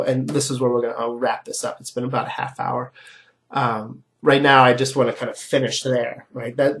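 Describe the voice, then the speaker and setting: A man with a stuffy nose giving a casual, explanatory philosophy lecture to students, often asking "right?" as he goes. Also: mid-range voice. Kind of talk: recorded online lecture.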